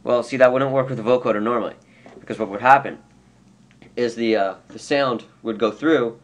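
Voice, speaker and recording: A man giving an unscripted, casual software tutorial, explaining step by step and correcting himself as he goes. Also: mid-range voice, moderate pace. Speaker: tutorial presenter. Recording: clear background noise.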